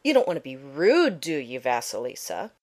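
A woman's voice: extremely low pitch, very animated, normal speed, darkish tone, heavily acted. A woman playing a smug, manipulative young stepsister with a mock-innocent, bossy manner in a fairy tale audio drama.

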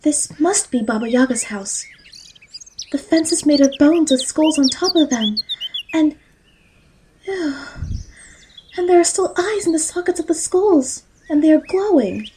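A woman plays a timid young fairy tale girl with a high, mellow voice. She is polite, naive and a little hesitant, in audio drama style, with noise behind her. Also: expressive, measured pace, pauses often.

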